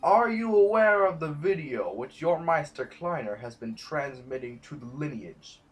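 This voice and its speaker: A man playing a stern, formal sovereign who presides over an assembly in measured oratory, in an audio drama. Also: fairly high pitch, lively intonation. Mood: grave, accusing.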